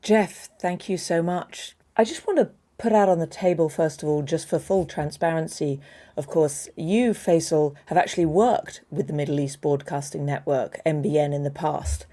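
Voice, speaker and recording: A woman moderates a policy debate in a low, mellow voice. She sums up each side's arguments and puts her questions in a measured, orderly way. Her voice sits notably deep for a woman, with a soft, warm tone. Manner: measured, summarizing.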